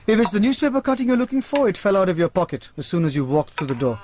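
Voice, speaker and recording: An actor playing a brilliant, dry-witted male private investigator, measured and articulate, in a radio drama. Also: high voice, sing-song, a little mellow, slight background noise. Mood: teasing.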